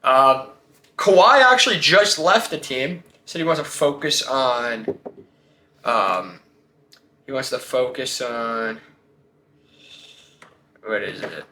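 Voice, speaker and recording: A man's voice: medium pitch, expressive, takes it slowly, pauses often, pushed and bright, roomy sound, slight background noise. A man hosting a casual sports talk show, giving blunt, slangy opinions and firing off rhetorical questions.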